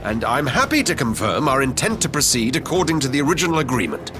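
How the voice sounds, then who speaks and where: An actor playing a formal, earnest imperial envoy in a video game, speaking in a measured, diplomatic way. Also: male voice, medium pitch, very animated, lightly acted. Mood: courteous. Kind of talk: video game cutscene acting. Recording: loud game music behind.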